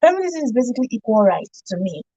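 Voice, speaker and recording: A Nigerian woman talks quickly in a casual radio panel discussion. The studio sound is dry and slightly dull. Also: a little high, big pitch swings, warm tone, no echo.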